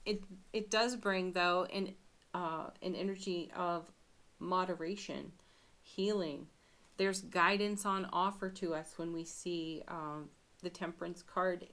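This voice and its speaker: Female tarot reader, unhurried musing delivery, lower voice, light scratchiness. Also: a bit bright. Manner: chatty, musing, laughs often. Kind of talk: daily tarot reading.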